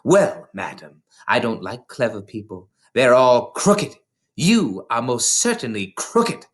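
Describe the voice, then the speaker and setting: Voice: mid-range voice, very animated. A reader playing a bullying headmistress, wildly swooping delivery. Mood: furious. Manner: scolding, emphatic, drawn-out words. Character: bullying, contemptuous older headmistress who despises children. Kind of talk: storybook character voice in a read-aloud.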